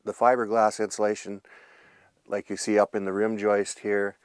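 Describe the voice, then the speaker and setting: A man's voice: on the low side, flat delivery, moderate pace, clear, resonant tone, dry recording. A man casually explaining problems in a small basement room, hesitating often with ums and uhs.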